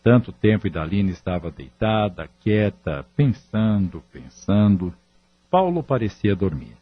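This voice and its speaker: A man's voice: lowish voice, big pitch swings, unhurried, lo-fi sound, a little hiss or hum. A man narrating a scripted audio drama.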